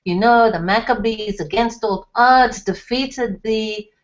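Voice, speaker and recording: A woman's voice: on the high side, takes it slowly. Woman voicing a harried father, blustering, exasperated, a bit muffled. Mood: exasperated yelling. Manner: placating, then yelling with exasperation. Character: harried middle-aged father, blustering on the phone.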